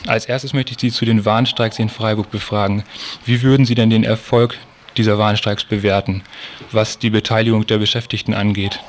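A man's voice: fairly low pitch, little pitch movement, brisk, slight background noise. A man presenting a scripted political report and interview on the radio.

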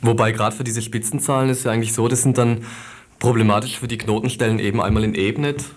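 A man's voice: mid-range voice, fairly even intonation, fast talker. A man conducting an informal radio interview, asking probing questions in a conversational, attentive way.

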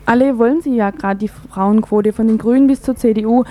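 A woman's voice: fairly high pitch, a little fast, mellow. A woman radio interviewer putting questions in a conversational way.

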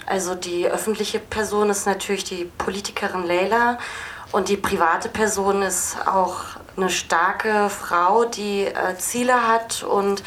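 A woman with a medium-pitched voice answers questions as a film director at a live audience talk. She explains things spontaneously, with hesitant pauses. There is faint noise and venue ambience on the recording. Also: a bit bright. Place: film festival screening venue. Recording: noisy live event recording.

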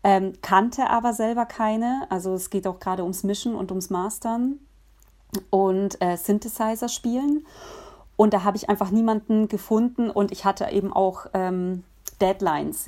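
A woman musician answering interview questions in a relaxed, thoughtful way, pausing often with fillers and restarting her sentences. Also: mid-range voice. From Germany. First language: Polish.

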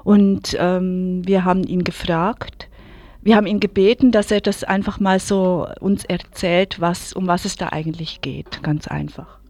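A woman's voice: lowish voice, steady tone, measured pace, a little mellow, dry recording. A woman presenting and interviewing on local radio in a casual, informal, somewhat tentative way. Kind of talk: casual spoken radio moderation.

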